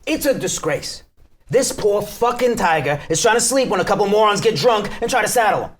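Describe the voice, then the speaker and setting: An actor at a live table read playing a brusque, foul-mouthed mob boss. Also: male voice, sits high, animated, a little fast, a little hiss or hum. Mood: irritated. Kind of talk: live script table reading.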